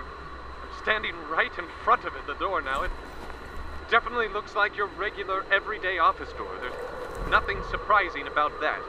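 A man's voice: high-pitched, noisy background. A man playing a stammering, awestruck war correspondent. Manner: stammering, awed and breathless. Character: seasoned male war correspondent reporting live. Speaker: field reporter. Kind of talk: radio drama acting.

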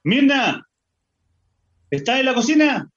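High-voiced actor playing an exasperated, anxious father, Chilean accent, radio drama, dull recording. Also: very animated, takes it slowly, background noise, exaggerated voice. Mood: alarmed. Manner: anxious, questioning. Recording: dull, lo-fi sound.

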